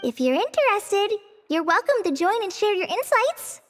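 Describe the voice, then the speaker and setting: A woman voicing a modest, friendly young female forest ranger with soft nervous laughs in a game dub. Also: very high voice, big pitch swings, normal speed, a little hiss or hum. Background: game music.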